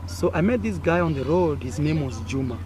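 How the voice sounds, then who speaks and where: A young man with a Kenyan accent, whose first language is Swahili, tells a story outdoors in an animated, chatty way. His voice sits a little high, and he acts out sounds as he goes. Faint village noise runs behind him. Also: a little fast. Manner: animated, acts out sounds and gestures with frequent fillers. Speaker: content creator. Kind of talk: casual storytelling to camera.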